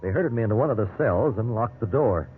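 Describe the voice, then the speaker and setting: A man's voice: lowish voice, expressive, fast, phone-quality sound, natural voice. An actor playing a respectable, wrongly accused businessman telling his story, earnest and conversational, in 1950s radio drama style. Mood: wry, measured narration. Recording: thin band-limited 1950s radio recording, hiss.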